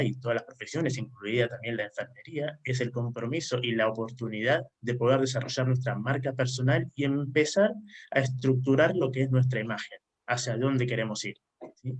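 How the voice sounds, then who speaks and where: An Argentine man speaking at a mid pitch as a panelist at an online round table. He lays out his ideas in long explanatory runs. He keeps checking in with his listeners through a rising "¿sí?". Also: fairly quick, a little mellow. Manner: frequent questioning "¿sí?" tags, explanatory. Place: remote online conference.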